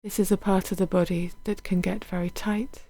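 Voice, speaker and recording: A woman guiding a body scan meditation in a gentle, unhurried, soothing manner, letting her phrases end softly. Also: mid-range voice, little pitch movement, no echo. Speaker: meditation guide.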